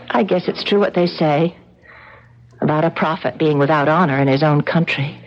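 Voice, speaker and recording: An actress playing a warm, encouraging gallery woman, a loyal friend, in an expressive radio drama style. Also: low-pitched, a little slow. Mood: reflective. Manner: expressive, earnest. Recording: dull lo-fi vintage radio recording.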